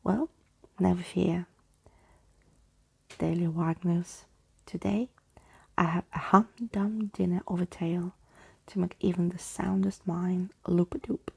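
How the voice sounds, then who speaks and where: Lady doing a grand old skeleton guy from a storybook, breathy n crackly, slow and theatrical. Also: lowish voice, steady tone, warm tone, exaggerated voice. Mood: boastful, whimsical. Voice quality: breathy, husky.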